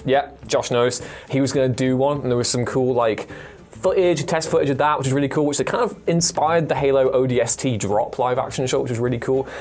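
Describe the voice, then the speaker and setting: A man's voice: mid-range voice, darkish tone. A man chatting casually as a panellist, rambling through his opinions with enthusiasm and slang. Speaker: panellist on a gaming show.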